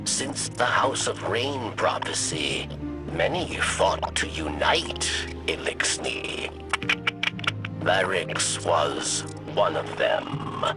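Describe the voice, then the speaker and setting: A weary old alien exile, speaks in a low, creaking rasp. The delivery is very slow and halting, mournful and reflective, like a solemn game monologue with music underneath. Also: male voice, expressive, full character voice. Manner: halting third-person speech in broken phrases. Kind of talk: scripted dramatic monologue for a video game.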